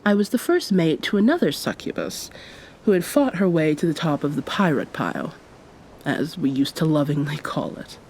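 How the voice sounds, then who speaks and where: An immortal succubus pirate captain, acted by a woman in an intimate ASMR roleplay. Her voice is fairly low and dark, soft and often nearly whispered, with formal, old-fashioned wording. She sounds affectionate and teasing yet commanding, over a faint low rumble. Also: animated, moderate pace. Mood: wry storytelling.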